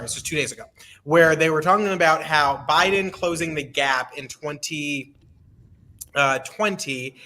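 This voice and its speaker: A man hosts a political talk podcast in a bright, slightly raised voice. He rambles and teases his co-hosts as he moves through topics. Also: on the high side, a little hiss or hum. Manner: joking, rambling intros with teasing. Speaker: podcast host. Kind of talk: casual political podcast chat.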